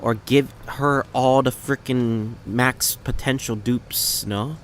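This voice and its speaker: A man's voice: mid-range voice, steady tone, slow pace, a little hiss or hum. A man livestreaming a game with casual, blunt, sweary commentary.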